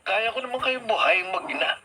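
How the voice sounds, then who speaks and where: A man's voice: high voice, a little fast, bright, forceful tone. A man playing a stern but caring elderly Filipino father and grandfather in a radio drama. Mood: regretful, protective. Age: older. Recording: noisy radio drama broadcast.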